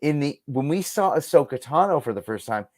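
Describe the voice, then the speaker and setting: A man's voice: a little high, lively intonation, a little fast, darkish tone, no room sound, studio quality. A middle-aged man chatting casually as a podcast guest, earnest and emphatic, repeating himself for stress. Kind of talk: casual fan discussion.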